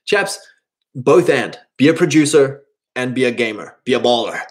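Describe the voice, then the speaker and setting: A man's voice: medium pitch, lively intonation, normal speed. A thirty-year-old man giving an informal, rambling motivational monologue, slipping into imagined quotes as he advises other men. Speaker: speaker giving advice to men.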